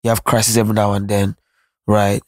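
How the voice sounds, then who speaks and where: Earnest Nigerian man, steady flat delivery. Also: fairly low pitch, brisk, no room sound, clean studio sound. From Nigeria (Lagos). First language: Yoruba. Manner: earnest, reflective, frequent restarts. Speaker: musician guest. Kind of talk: candid interview conversation.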